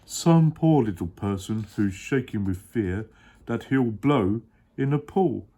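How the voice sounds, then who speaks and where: Horton, a man voicing a gentle kind elephant. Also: fairly low pitch, animated, slow pace, heavily acted. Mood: earnest. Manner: earnest, pleading storybook reading. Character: gentle, kind-hearted big male elephant. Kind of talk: reading a picture book aloud.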